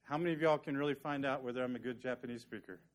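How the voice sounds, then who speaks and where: A man's voice: medium pitch, very fast, a little hiss or hum. A man teaching a sermon, earnest and conversational, walking through scripture and sometimes joking dryly with the congregation. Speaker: preacher.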